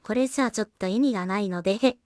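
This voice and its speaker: A woman's voice: mid-range voice, rapid-fire, a little mellow, no echo, clean studio sound. A woman giving casual work-along commentary as a solo creator, half talking to herself in short, trailing remarks. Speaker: solo animation creator and streamer.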